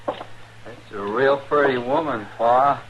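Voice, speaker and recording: Casting: slow-witted, leering western youth, drawl, dull vintage radio recording with slight noise. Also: male voice, mid-range voice, a bit bright. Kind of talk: 1950s western radio drama acting.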